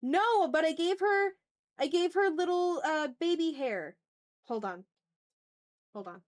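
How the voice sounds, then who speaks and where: A woman's voice: very high-pitched, lively intonation, a little slow. A woman hosting an art livestream, chatting casually and muttering to herself in an informal, rambling way as she works. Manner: informal, mutters to self, mild swearing. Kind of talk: casual rambling chat while drawing.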